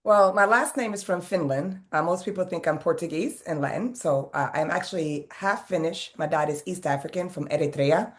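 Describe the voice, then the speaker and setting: A woman's voice: fairly low pitch, normal speed, few pauses. A woman in her fifties, raised in Sweden, chatting as a guest in an interview about her background and business. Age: 50s. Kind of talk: casual interview.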